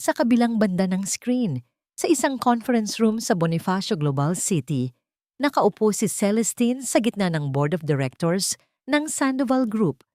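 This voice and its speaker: A woman's voice: mid-range voice, animated, normal speed, mellow, no room sound, studio quality. A woman with a Filipino accent reading scripted romantic drama narration in a dramatic storytelling style.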